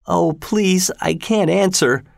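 Audiobook role: sickly, fearful youth, pitched high and quavering, pleading and faltering, played by a male narrator. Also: slow pace, creaky, no room sound, clean studio sound, full character voice. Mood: feeble, evasive. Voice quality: shaky. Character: sickly, terrified, pleading young man. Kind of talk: audiobook character voice.

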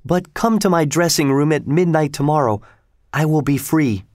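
A man's voice: on the high side, moderate pace, darkish tone, dry recording, studio quality, voice pushed up, lightly acted. A man voicing a frightened, earnest young woman opera singer in a dramatic storybook reading. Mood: urgent.

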